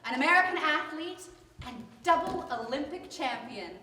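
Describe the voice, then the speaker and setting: A woman's voice: high voice, animated, moderate pace, sharp tone, a little hiss or hum. A woman announcing names and dates between scenes of a stage show. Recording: echoey hall from far off.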